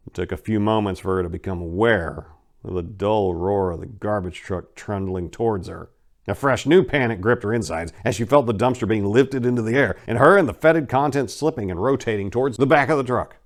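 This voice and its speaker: A man giving an intense, expressive dramatic reading of a scripted crime story as its narrator. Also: fairly low pitch, brisk.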